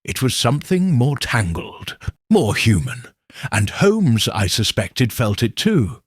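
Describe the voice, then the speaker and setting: Male narrator for a mystery audiobook, husky, unhurried, expressive pitch. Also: dry recording, clean studio sound. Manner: slow, measured storytelling. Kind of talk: first-person scripted narration.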